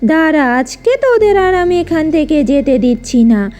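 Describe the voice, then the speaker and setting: Woman as child-eating ghost witch, cartoon villain, high pitch, threatening, drawn-out delivery, music behind. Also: sing-song, darkish tone. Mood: menacing. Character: menacing female ghost witch who eats children.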